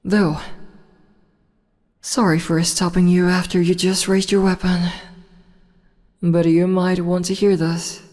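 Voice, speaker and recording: A woman voicing a commanding, teasing demon empress in an ASMR audio roleplay, speaking in slow, low whispers. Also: flat delivery, a little mellow, in a large hall. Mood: coaxing. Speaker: voice actress.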